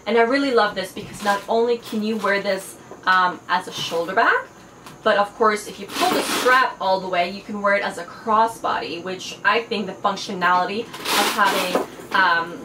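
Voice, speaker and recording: A young woman whose first language is Farsi, chatting eagerly through a fashion haul with many fillers. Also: mid-range voice. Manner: enthusiastic, chatty, frequent fillers. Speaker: fashion and beauty vlogger. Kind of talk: casual haul video. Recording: echoey room, faint background noise.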